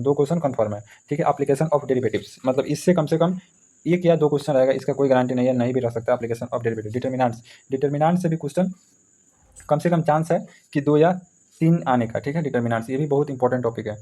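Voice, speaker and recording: A man's voice: mid-range voice, dark tone. A man giving an informal exam lesson as a maths teacher, explaining repetitively with frequent check-in tags.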